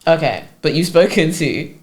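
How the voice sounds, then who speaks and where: Young woman guest, soft and relaxed. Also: low voice, moderate pace. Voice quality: soft, cutesy voice, host says. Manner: relaxed, chatty, laughs easily. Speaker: podcast guest. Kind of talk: casual chat.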